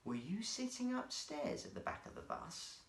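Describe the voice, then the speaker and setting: A man voicing a kindly seal trainer at a zoo show in a children's story, with a hearty, deliberate announcer's delivery. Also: high-pitched, huge pitch swings, creaky, reverberant, pitched above the performer's own voice, heavily acted. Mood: curious.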